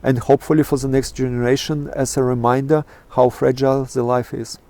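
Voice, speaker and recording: A middle-aged man with a Russian accent and a dark, mellow tone, speaking English as a second language. He speaks haltingly, with many "uh"s and restarts, as he answers an interviewer outdoors in a national park. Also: medium pitch, level tone. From Kazakhstan. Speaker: interviewee, astrophotographer. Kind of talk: casual interview talk.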